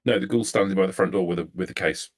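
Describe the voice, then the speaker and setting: A man's voice: sits low, fast. A man improvising narration as a game master, hesitant and repeating words as he searches for the right one.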